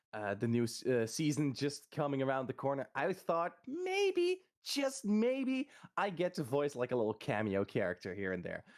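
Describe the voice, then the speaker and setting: A man with an average-pitched voice speaks in a relaxed chat. He sounds thoughtful and pauses now and then to find his words. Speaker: voice actor. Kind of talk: casual chat.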